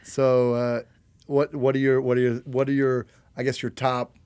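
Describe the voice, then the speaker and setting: A man hosting a podcast interview, easygoing but halting as he circles back through his questions. His voice sits in a middle range. The recording is dry and close. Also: mid-range voice, flat delivery, darkish tone, clean tone, no echo. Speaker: podcast host. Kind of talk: casual interview chat.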